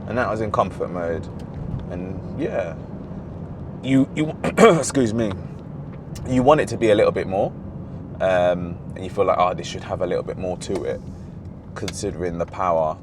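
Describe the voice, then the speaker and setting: A very deep-voiced man talks casually while driving, rambling and correcting himself, his voice slightly hoarse. He is recorded inside a moving car. Road and engine noise hum clearly underneath. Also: normal speed, pauses often. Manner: rambling, self-correcting, easygoing. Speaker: car reviewer, vlog host.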